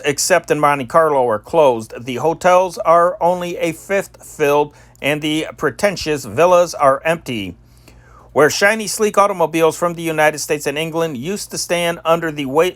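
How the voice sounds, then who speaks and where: A man reading old newspaper stories as scripted news, in a deliberate announcer-like delivery with occasional stumbles. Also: a little high, fairly bright tone, dry recording. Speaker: amateur newsreader and channel host.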